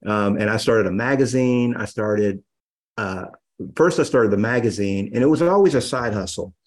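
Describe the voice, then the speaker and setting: A man in his fifties, a guest on a podcast, telling stories in a relaxed, rambling way with many fillers. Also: on the low side, normal speed. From Los Angeles area, USA. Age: 50s. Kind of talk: casual storytelling interview.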